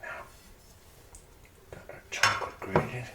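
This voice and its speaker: A man's voice: slow pace, background noise. A man giving an ASMR cooking demonstration as a home cook, murmuring in short, sparse phrases in a kitchen. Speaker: home cook presenting a recipe. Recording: roomy kitchen echo, clattering dishes and clicks.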